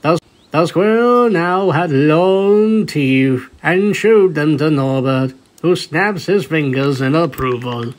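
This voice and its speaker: A man's voice: a little high, lively intonation, slow, rough recording. A man reading a story aloud as a scripted narration. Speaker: fanfiction reader, narrator.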